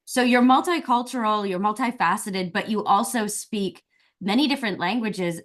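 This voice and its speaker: A woman's voice: mid-range voice, normal speed. A woman from Virginia hosting an interview, effusive and gushing, full of "like" and "you know". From Virginia, USA. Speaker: interview host. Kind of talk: casual interview chat.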